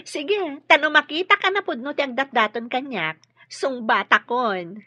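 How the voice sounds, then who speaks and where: A woman's voice: high voice, unhurried, bright, forceful tone, dry recording. A woman playing a playful, curious young unmarried lady boss in a radio drama. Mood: playful, daughterly. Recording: dull lo-fi radio sound.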